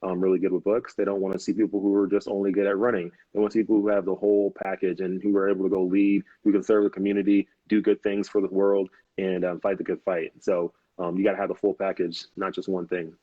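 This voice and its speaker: A man's voice: sits low, level tone, fast talker, few pauses, dry recording. A man in his thirties, a Navy officer guest on a live interview, talking easily with many fillers and restarts. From United States. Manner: easygoing, laughs often, many "um"s and restarts. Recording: dull, lo-fi video call audio.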